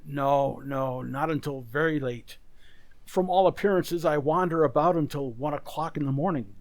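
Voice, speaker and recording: A man's voice: fairly high pitch, moderate pace, close to an everyday voice. A man playing an anxious, troubled businessman in an old-time radio drama, speaking hesitantly and with distress. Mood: troubled, earnest. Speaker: amateur community theater actor.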